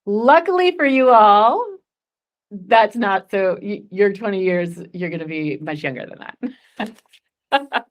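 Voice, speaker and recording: A woman's voice: mid-range voice, animated, takes it slowly, pauses often. A woman in her forties leading a goal-setting workshop, chatty and upbeat, laughing often. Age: 40s. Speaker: workshop facilitator.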